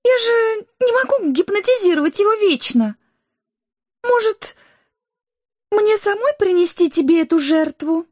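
A man voicing a vain, scheming, gushing woman in a shrill, whiny falsetto for an audiobook fantasy tale. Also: animated, measured pace, pauses often, dry recording, studio quality. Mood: coy, scheming. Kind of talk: audiobook character acting.

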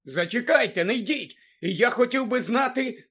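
A man's voice: sits high, sing-song, slow pace, fairly bright tone, voice pushed up, heavily acted. A man voicing an anxious teenage boy wizard in a storybook, asking questions with urgency. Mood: urgent.

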